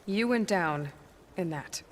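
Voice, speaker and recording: Young game heroine, lowish voice, curious n dry, practical, bit of background noise. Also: female voice, expressive, slow pace. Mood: curious, wry. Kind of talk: video game cutscene acting.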